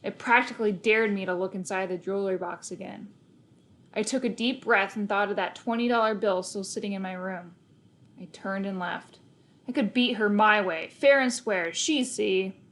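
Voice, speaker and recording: A woman reads a children's novel aloud in a mid-pitched voice. She tells it in the first person, leaning on key words and acting out the story as she goes. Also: normal speed, fairly bright tone. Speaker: storybook reader.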